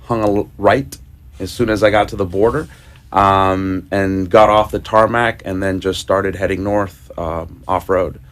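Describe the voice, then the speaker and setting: A man from Ecuador, a guest on a radio show, telling his story in a relaxed, casual way. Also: sits low, a little slow. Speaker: guest motorcyclist.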